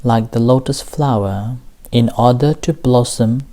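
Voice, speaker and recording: Very slow, mellow male meditation teacher. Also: medium pitch. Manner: slow, gentle, with long pauses between short phrases. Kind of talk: guided meditation dharma talk.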